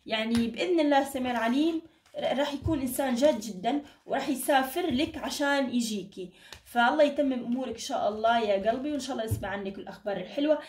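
A woman speaks at a brisk clip in an echoey room, a little away from the microphone. She reads cards informally and reassuringly, with endearments and blessings, her voice somewhat tired. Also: a little high, darkish tone, recorded from a distance. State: tired, strained voice. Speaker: card reader, channel host. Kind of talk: informal fortune-telling reading to camera. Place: indoor room at a table.

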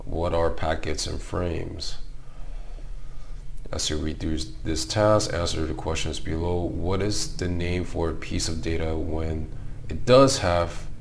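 A young man who is hoarse from a cold, speaking in a raspy voice as he hosts a screen-recorded tutorial, reading out each question and answering it step by step. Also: low-pitched, slight background noise. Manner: reads task questions aloud and answers them step by step. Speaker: tutorial host.

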